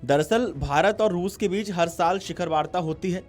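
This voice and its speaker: A man's voice: sits high, moderate pace. Male news narrator over music. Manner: formal. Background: background music.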